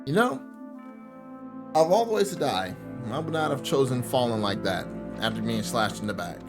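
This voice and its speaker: A man's voice: mid-range voice, sing-song, moderate pace. A man hosting a let's play with casual, joking and sarcastic commentary. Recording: clear music and game audio behind. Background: game music.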